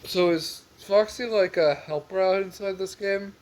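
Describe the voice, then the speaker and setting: A man's voice: high-pitched, unhurried, darkish tone. A man hosting a reaction video, commenting in an informal, exclamatory and mildly sweary way.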